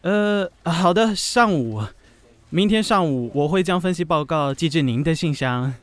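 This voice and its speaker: A man's voice: fairly high pitch, animated, unhurried. An actor playing a dutiful, harried male office assistant in a radio drama, polite and easily flustered. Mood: polite, businesslike. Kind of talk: Mandarin radio drama acting.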